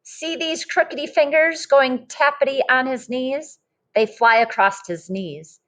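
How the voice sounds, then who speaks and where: A woman's voice: high voice, unhurried, fairly bright tone, pitched above the performer's own voice. A woman voicing an admiring young child who narrates a picture book with wondering emphasis.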